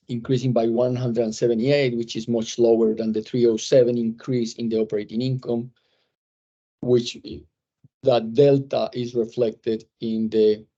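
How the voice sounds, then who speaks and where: A man presenting quarterly financial results on a conference call, speaking in a measured way with many fillers and restarts. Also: mid-range voice, warm tone, lo-fi sound. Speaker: company executive presenting results.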